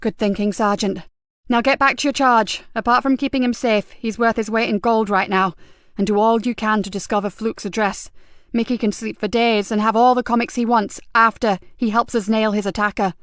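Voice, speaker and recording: A woman's voice: on the high side, steady tone, normal speed, no room sound, studio quality, natural voice. A woman voicing an urgent female police inspector who gives clipped, pressing orders. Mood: commanding.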